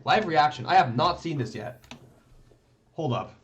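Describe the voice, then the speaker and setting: Rambling male streamer, lively pitch. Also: unhurried, a little hiss or hum. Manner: rambling, thinking aloud, many "like" and "right?". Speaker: streamer reviewing tournament matches. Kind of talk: casual live commentary. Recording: dull lo-fi stream audio.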